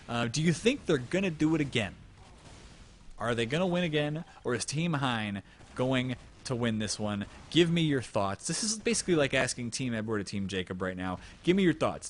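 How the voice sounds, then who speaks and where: A man's voice: mid-range voice, slight background noise. A young man casting a live video game match, joking and rambling while losing his voice. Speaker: esports caster.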